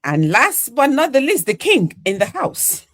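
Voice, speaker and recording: A woman's voice: high-pitched, sing-song, a little slow, fairly bright tone. An older woman from Nigeria hosting a live online panel, chatty and full of "you know" fillers. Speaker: podcast host.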